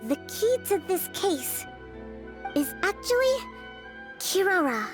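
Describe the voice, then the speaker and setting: A woman playing a composed, gentle young priestess in a video game, polite and measured in her wording. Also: very high-pitched, sing-song, heavily acted. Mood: thoughtful. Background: music.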